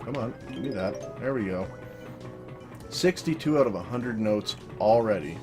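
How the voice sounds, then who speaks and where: Very deep-voiced monotone woman over game music. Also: normal speed. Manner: monotone, self-deprecating, casual swearing. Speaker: let's play commentator. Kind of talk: solo gameplay commentary. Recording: game music loud behind voice. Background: video game music.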